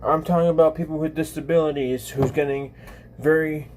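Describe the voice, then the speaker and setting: Halting young man chatting on a livestream. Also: fairly high pitch, fairly even intonation, darkish tone, slight background noise. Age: 20s. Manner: halting, repetitive, often restarting phrases. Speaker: live streamer, channel host. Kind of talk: casual livestream chat with viewers.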